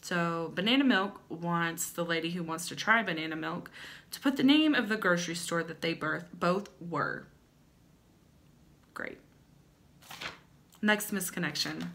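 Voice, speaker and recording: A woman's voice: lowish voice, bright, forceful tone. A young adult woman hosting a comedic dramatic reading with chatty asides, in a playful, wry manner with theatrical emphasis. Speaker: host. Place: small indoor room at home.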